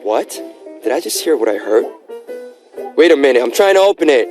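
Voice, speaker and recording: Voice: medium pitch, very animated, a little fast, a little mellow, soft background music, lightly acted. A performer voicing a put-upon young babysitter, dramatic, incredulous and pleading, in a comic radio skit. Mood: bewildered, pleading. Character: Sam, a flustered, put-upon young relative left babysitting.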